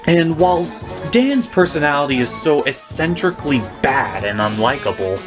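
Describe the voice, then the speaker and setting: Theatrical man with very lively, swooping delivery. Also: on the high side, normal speed, music in the background. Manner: theatrical, emphatic. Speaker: host and reviewer. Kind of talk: scripted comedic review rant.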